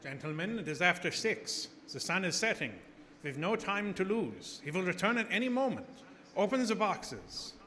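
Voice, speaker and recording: Man as a high-voiced, urgent vampire-hunting doctor. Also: sing-song, sharp tone, big-room sound, heavily acted. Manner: deliberate, urgent, commanding. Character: earnest, authoritative doctor leading a vampire hunt. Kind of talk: live radio horror drama acting. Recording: noisy live stage recording.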